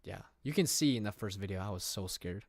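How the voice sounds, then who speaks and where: A man's voice: lowish voice, lively intonation, a little fast, vocal fry, dry recording, studio quality. Rambling young man chatting on stream. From England. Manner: rambling, many fillers and restarts, reads viewer questions aloud. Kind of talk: casual livestream chat. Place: room.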